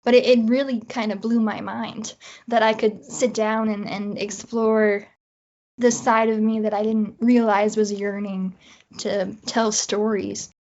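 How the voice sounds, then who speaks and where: A woman's voice: mid-range voice, little pitch movement, unhurried, a little mellow. A woman with a Canadian accent chatting casually as a guest writer in an interview. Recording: dull lo-fi audio.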